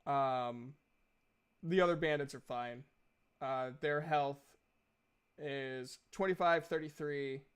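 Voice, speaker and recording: A man's voice: medium pitch, takes it slowly, pauses often, clean tone. A man casually narrating a tabletop roleplaying game as the game master. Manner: casual, conversational.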